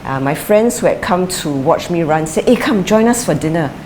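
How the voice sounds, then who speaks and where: A middle-aged woman answering interview questions thoughtfully, pausing with fillers and restarting her sentences. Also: lowish voice, lively intonation, a little mellow. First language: English is her first language; Chinese is her second. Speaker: interviewee, former sprinter and coach. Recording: light background noise.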